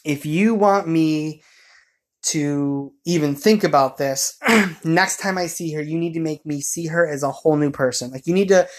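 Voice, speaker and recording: Man casually telling a halting personal story. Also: fairly high pitch. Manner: rambling, halting, self-correcting.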